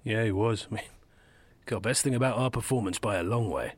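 A man's voice: on the low side, fairly quick, husky, dry recording. An actor playing a harried male football club chief executive in an audio drama, flustered and exasperated.

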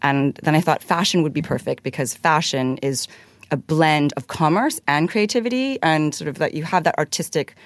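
A woman's voice: sits low, moderate pace, no room sound. Woman from hong kong, casual rambling interview talk, dry studio mic. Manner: chatty, many fillers and restarts. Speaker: guest.

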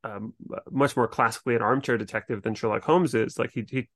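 A man with a mid-pitched voice co-hosts a casual book discussion. He thinks aloud in a halting way, and the sound is dry with no room on it. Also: steady tone, a bit bright, no echo. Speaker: podcast co-host.

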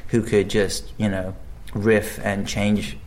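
A man's voice: fairly low pitch, flat delivery, slow pace, a little mellow. A man, an actor, chatting casually in an interview, rambling with many restarts and fillers. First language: English. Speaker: actor guest.

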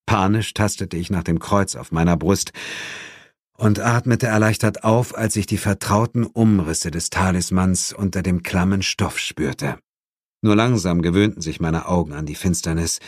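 Low-pitched, slow male audiobook narrator. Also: no echo, clean studio sound. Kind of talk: dramatic first-person scripted narration.

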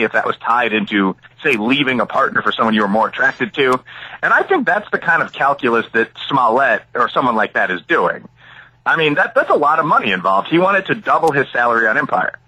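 A man's voice: medium pitch, sharp tone, slight background noise, poor audio. A middle-aged American man from the Chicago area giving analytical, opinionated interview answers as an academic, full of asides and examples. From Chicago area, United States. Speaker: interview guest, academic and author.

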